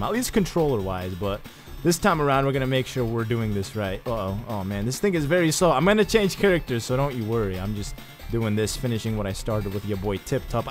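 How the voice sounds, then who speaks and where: A young man giving casual, excitable let's-play commentary over his gameplay, chatting with jokey asides. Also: mid-range voice. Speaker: gaming YouTuber.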